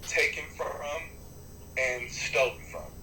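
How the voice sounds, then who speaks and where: A man who is a rapper and artist gives long, animated interview answers, often restarting his sentences and swearing for emphasis. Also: mid-range voice, slow pace, reverberant, slight background noise, low-quality recording. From United States.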